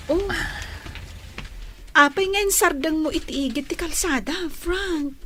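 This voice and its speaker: A woman's voice: high-pitched, slow, fairly bright tone, a little hiss or hum. A woman playing a shy, humble young village girlfriend in an Ilocano radio comedy drama, with a hesitant, demure manner. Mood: coy.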